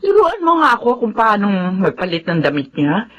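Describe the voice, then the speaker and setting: An actress playing an anxious, hesitant wife in a Filipino radio drama. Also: female voice, mid-range voice, wildly swooping pitch, normal speed, a bit bright, lo-fi sound, slight background noise. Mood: shy, nervous. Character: anxious, hesitant wife unsure about raising a child.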